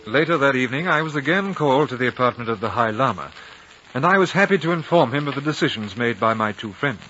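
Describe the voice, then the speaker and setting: An actor playing a courteous, world-weary war veteran in a 1950s radio drama, polite, earnest and reflective. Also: male voice, medium pitch, animated, fast, bright, forceful tone. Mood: composed. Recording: dull, noisy old radio recording.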